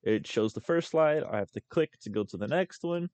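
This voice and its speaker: A young man hosting a casual screen-recorded software tutorial as a voice-over. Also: on the high side, animated, normal speed, no room sound. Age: young adult. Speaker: tutorial host.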